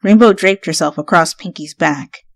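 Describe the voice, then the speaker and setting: A woman's voice: on the low side, normal speed, creaky, no echo, studio quality. A woman narrating fan fiction as a dramatic story reading.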